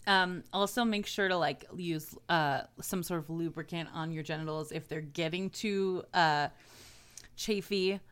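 Podcast co-host, woman, crisp bright tone, crude teasing humor. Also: fairly low pitch, a little slow. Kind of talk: casual chat.

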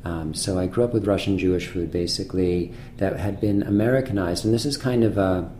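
Male chef guest, low mellow voice, halting reflective delivery, roomy room sound. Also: a little hiss or hum. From Massachusetts, USA. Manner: thoughtful, many pauses and restarts. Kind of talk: casual conversational interview. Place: restaurant.

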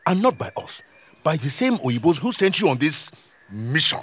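High-voiced, sarcastic Nigerian village leader. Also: male voice, big pitch swings, moderate pace, fairly bright tone, breathy edge, dry recording, exaggerated voice. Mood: scornful, challenging. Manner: mocking, argumentative, rhetorical questions. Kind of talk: audio drama acting.

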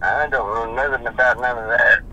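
A man, answering questions on a phone call in a halting, stammering way. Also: mid-range voice, steady tone, bright, forceful tone, a little hiss or hum. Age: 50s. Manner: halting, stammering, repeats himself. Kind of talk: casual phone conversation.

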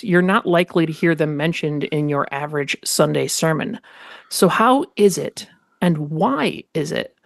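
A woman's voice: low voice, no echo, studio quality. A woman co-hosting a podcast, moving between scripted reading and personal discussion. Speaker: podcast host.

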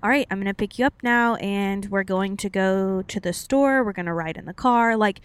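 A woman's voice: medium pitch, moderate pace, clear, resonant tone, no room sound. A young woman co-hosting a podcast, chatting casually and a bit long-windedly, with frequent laughs and "like" fillers. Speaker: podcast co-host. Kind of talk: casual chat.

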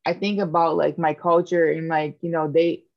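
A woman's voice: low-pitched, flat delivery, normal speed, creaky, lo-fi sound. A woman in her thirties, a podcast guest talking candidly and joking about herself in casual chat. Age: 30s. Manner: candid, self-mocking, jokey.

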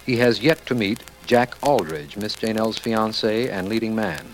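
An actor playing an earnest district attorney who narrates a detective mystery in formal, old radio drama style. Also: male voice, fairly low pitch, moderate pace, faint music behind, natural voice, low-quality recording. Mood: measured narration. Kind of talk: 1940s-50s radio drama acting.

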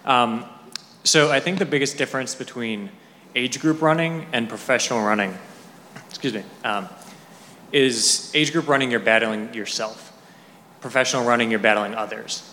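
A man in the audience asking a question in a halting way, with ums. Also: mid-range voice, pushed and bright, slight background noise. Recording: distant in an echoey hall.

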